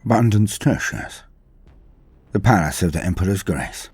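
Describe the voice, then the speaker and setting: A man narrating a dramatic science fiction story in a measured, theatrical way. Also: low voice, expressive, a little mellow, slightly rough.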